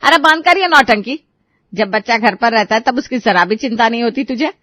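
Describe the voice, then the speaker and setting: A woman's voice: fairly high pitch, a little fast, no room sound, clean studio sound, close to an everyday voice. A woman voicing an irritable, scolding older mother-in-law and grandmother in a dramatised story. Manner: sharp, nagging, indignant.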